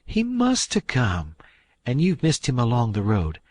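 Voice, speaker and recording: Fussing motherly farm wife done by a man, high fluttery voice, husky, dry. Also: huge pitch swings, measured pace, darkish tone, no echo. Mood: fretful.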